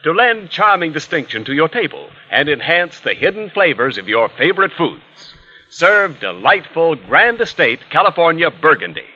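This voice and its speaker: Bright, animated 1940s American radio pitchman. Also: moderate pace. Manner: polished, emphatic salesmanship. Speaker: radio announcer. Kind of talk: 1940s radio advertising announcing, scripted. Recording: dull, lo-fi vintage radio recording.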